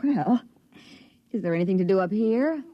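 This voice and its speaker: A woman's voice: mid-range voice, expressive, moderate pace, natural voice. Sultry, mocking thrill-seeker, swooping voice played by a woman. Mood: bored, provocative. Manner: languid, mocking, affected. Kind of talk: 1950s radio drama acting. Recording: dull vintage radio recording.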